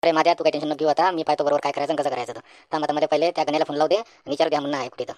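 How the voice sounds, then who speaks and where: Man doing a brash, rapid-fire young villager. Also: fairly high pitch, steady tone, no echo, natural voice. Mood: reassuring. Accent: Varhadi Marathi. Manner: confident, colloquial. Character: brash, take-charge young village friend, male. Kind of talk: comedy cartoon dialogue.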